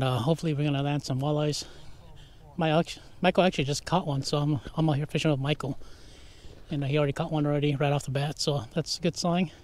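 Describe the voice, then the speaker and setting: A man's voice: fairly high pitch, a little mellow. A man chatting casually as host of a fishing vlog, easygoing and encouraging. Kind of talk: casual outdoor vlog chat. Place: frozen lake and ice fishing tent.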